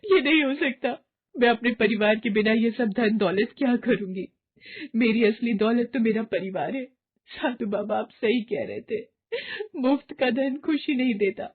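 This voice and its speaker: Woman acting excitable daughter-in-law, high-pitched and phone-muffled. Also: husky. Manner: gushing, dramatic. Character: excitable greedy young Indian daughter-in-law, later distraught. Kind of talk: melodramatic moral-story cartoon dialogue. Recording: muffled, narrow phone-like band.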